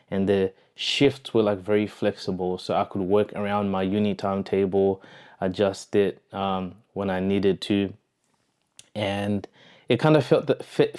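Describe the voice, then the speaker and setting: A man's voice: fairly low pitch, a little mellow, clean tone. A young man with a Zimbabwean accent telling his own story casually, in a reflective, conversational way, as a vlogger. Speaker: vlogger, online entrepreneur. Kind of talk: casual personal storytelling to camera.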